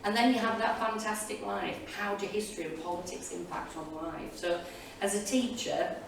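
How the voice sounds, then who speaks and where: A woman's voice: medium pitch, steady tone, normal speed, gravelly. A woman teacher giving informal, enthusiastic and rambling reflections to an audience as a panelist. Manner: chatty, enthusiastic, digressive. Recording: echoey hall, distant mic, loud room noise.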